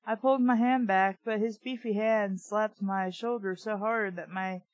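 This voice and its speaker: A woman's voice: mid-range voice, steady tone, normal speed, no room sound, muffled recording. A woman reading a story aloud, breaking in with blunt, sarcastic, casual asides. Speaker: audiobook reader and commentator.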